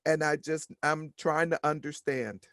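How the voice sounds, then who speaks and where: A woman with a deep voice, a workshop attendee sharing a personal story over a video call, halting and repeating her words. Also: fairly even intonation, a bit bright, dry recording, clean studio sound.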